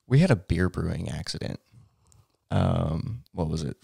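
Low, gravelly young man on a podcast. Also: sing-song, a little slow, dark tone, no echo, studio quality. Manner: easygoing, chimes in with asides and "um"s. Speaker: podcast co-host. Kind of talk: casual conversational podcast. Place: small room indoors, seated in armchair. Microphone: boom-arm studio mic close in front.

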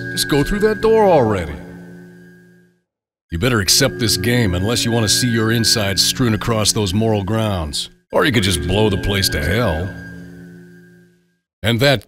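A sardonic, psychotic alter ego from a video game. The voice sits fairly low, and the delivery is theatrical, smug and taunting. Music plays clearly behind the lines. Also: male voice. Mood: taunting, impatient, then grandly narrating.

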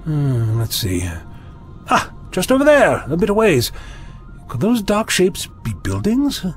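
Breezy, fussy gentleman explorer played by a man, chatty, animated intonation, sci-fi audio drama. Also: high voice, unhurried, faint music behind, heavily acted. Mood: curious. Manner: musing, chatty, whimsical. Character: curious, breezy, slightly fussy male time-travelling adventurer.

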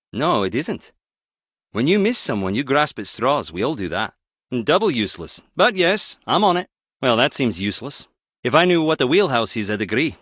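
An actor playing a wry, guarded skip tracer in a video game, teasing yet protective, speaking in a clipped, conversational way. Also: male voice, a little high, fairly bright tone, dry recording, studio quality. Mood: reflective. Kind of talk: video game character dialogue.

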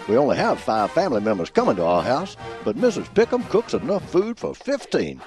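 A man performing as a folksy, pompous old football professor, giving grandiloquent scripted comic radio commentary in a husky voice. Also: medium pitch, wildly swooping pitch, fairly quick, a little mellow, soft background music. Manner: folksy, grandiloquent alliterative delivery. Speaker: host of a college football prediction show.

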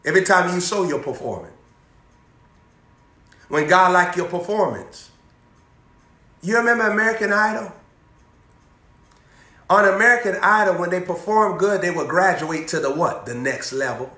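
A young man preaching an energetic sermon, repeating phrases and throwing questions to his listeners. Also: high voice, normal speed, pauses often. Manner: animated, repetitive preaching with call-and-response questions, moving around. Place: indoor room in front of a banner backdrop. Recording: echoey room.

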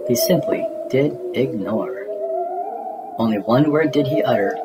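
A man reading a spooky rhyming children's story aloud in a theatrical, deliberate way, as a storybook narrator. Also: medium pitch, lively intonation, loud music behind the voice.

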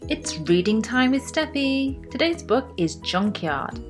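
A young woman reading a children's storybook aloud in an expressive style, with deliberate, emphatic phrasing. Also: sits high, soft background music. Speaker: children's book reader.

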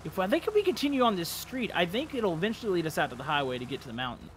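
A man's voice: high voice, sing-song, rapid-fire. A man in casual multiplayer gaming chat, giving his group directions over game vehicle noise. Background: game vehicle sounds.

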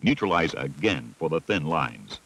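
A deep-voiced American man reads scripted instructional narration for a training film. His delivery is methodical and instructive, with a lively rise and fall that sets off each step. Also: moderate pace, rough recording. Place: voice-over recording.

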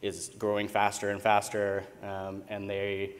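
An American man speaks as a keynote presenter on a conference stage. His voice sits fairly low, and his delivery is informal and a little hesitant, dotted with ums. The sound carries the long reverb of a big hall. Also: completely flat delivery, unhurried. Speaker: conference keynote speaker, tech company co-founder.

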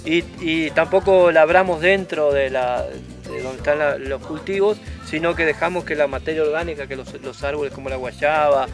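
A man in his forties with an Argentine accent walks a forest trail as a guide, explaining patiently and hesitating often. His voice sits slightly high. Music plays clearly under his speech. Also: normal speed. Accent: Argentine Spanish. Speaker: nature reserve guide.

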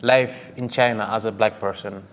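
A man interviewing, asking halting questions with frequent restarts and fillers. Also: fairly low pitch, steady tone, in a large hall. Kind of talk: casual interview chat.

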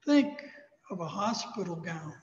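A man in his sixties with an American accent, a pastor preaching a sermon in a measured, emphatic way. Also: high-pitched, expressive. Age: 60s. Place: large church sanctuary, indoors. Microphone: thin headset microphone. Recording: echoey church hall, slightly dull sound.

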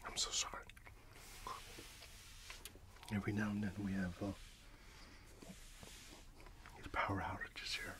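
A middle-aged man softly whispering in an ASMR roleplay as a friendly, chatty shopkeeper, close to the microphone. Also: deep voice, flat delivery, racing. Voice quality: soft, mostly whispered. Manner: friendly, chatty, apologetic shopkeeper patter with repeated words. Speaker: ASMR roleplay performer playing a friendly candy shop owner.

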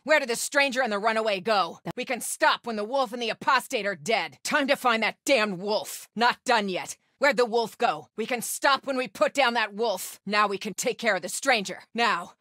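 A woman's voice: on the high side, moderate pace, hardly any pauses, pushed and bright, no room sound, clean studio sound. A woman voicing a relentless, menacing cult hunter in a video game, with curt, commanding calls. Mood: grimly determined. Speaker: enemy character in a video game. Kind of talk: video game combat barks.

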